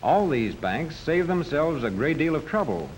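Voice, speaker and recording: A man's voice: on the high side, very animated, normal speed. A man narrating a 1940s educational film from a script, explaining step by step in a didactic manner. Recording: noisy 1940s film soundtrack.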